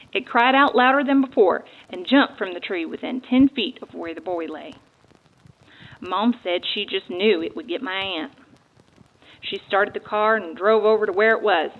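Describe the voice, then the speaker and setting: A woman giving a dramatic reading of eyewitness accounts from a book. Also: mid-range voice. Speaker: guest reader. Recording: thin, phone-like narrow band.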